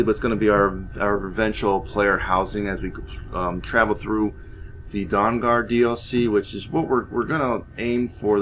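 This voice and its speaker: A man's voice: on the low side, fairly bright tone, a little hiss or hum. A man hosting a gaming series, talking informally as he explains his roleplay playthrough and tells its story. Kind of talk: roleplay let's play commentary with story narration.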